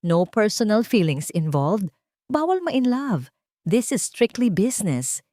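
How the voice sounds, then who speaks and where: A cool young billionaire boss from a romance audio story, played by a woman. The delivery is blunt and commanding, with controlled, businesslike phrasing and big swings in pitch. The sound is dry and close. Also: big pitch swings, a little slow, warm tone, no echo. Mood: cold, firm.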